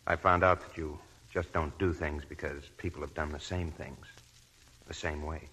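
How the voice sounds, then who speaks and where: An earnest, deep-voiced young lieutenant. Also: male voice, steady tone, normal speed, sharp tone, a little hiss or hum. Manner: earnest, hesitant. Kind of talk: 1940s radio drama acting.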